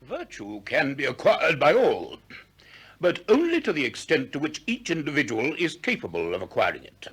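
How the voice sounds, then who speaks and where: An actor playing a self-assured, eloquent ancient Greek teacher in a 1960s radio drama, with an expansive lecturing manner. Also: male voice, a little high, huge pitch swings, moderate pace, a bit bright, breathy edge, full character voice.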